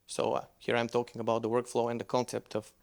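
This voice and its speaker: Male presenter, English, relaxed, conversational, stumbles and restarts mid-sentence. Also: medium pitch, fast talker, creaky. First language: Bulgarian. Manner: loose, conversational, with frequent restarts. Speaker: conference speaker. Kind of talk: conference presentation with Q&A.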